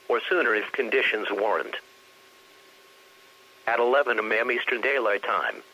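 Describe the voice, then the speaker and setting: Male text-to-speech radio announcer, mid-pitched and forceful, formal and literal delivery of scripted storm bulletins. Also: rough recording. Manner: stiff, formal, literal reading. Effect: radio broadcast. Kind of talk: scripted weather warning bulletin.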